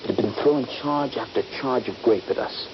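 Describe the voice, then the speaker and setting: A man's voice: medium pitch, brisk, lightly acted. An actor playing a bold, eager young Union Army soldier in a radio drama, confident and dramatic in his urging. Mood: eager, reminiscing. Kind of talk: radio drama acting with first-person narration. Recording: dull, noisy 1970s radio recording.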